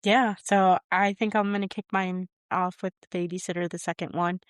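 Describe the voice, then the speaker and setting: A woman's voice: lowish voice, fairly even intonation, normal speed, no echo, clean studio sound. A woman co-hosting a podcast, chatting casually with a rambling, hesitant way of talking and frequent laughs. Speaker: podcast co-host. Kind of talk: casual chat.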